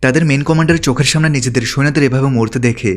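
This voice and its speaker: A man's voice: mid-range voice, racing. A man reading a scripted story recap as a narrator.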